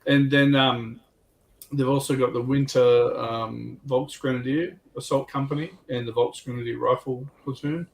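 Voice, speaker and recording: A man's voice: mid-range voice, fairly even intonation, moderate pace, creaky. A man chatting casually and informally as a panelist on a hobby livestream. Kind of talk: casual chat. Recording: distant mic with background noise.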